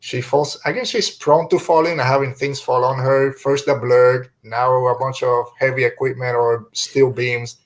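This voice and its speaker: A man's voice: medium pitch, fairly even intonation, normal speed, few pauses, low-quality recording. A man hosting a casual podcast review, retelling things in an informal, rambling way. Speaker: podcast host.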